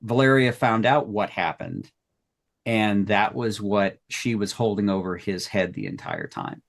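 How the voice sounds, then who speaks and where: Low-voiced man chatting casually. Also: sing-song, unhurried, gravelly. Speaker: audio drama writer and host. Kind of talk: casual panel chat.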